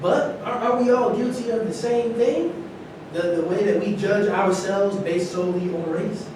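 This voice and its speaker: A man acting an insistent, high-voiced embittered ex-prisoner. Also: steady tone, normal speed, natural voice. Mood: questioning. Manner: argumentative, insistent. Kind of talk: staged play reading. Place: large indoor room at a live staged reading, distant mic. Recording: very echoey, noisy room from far off.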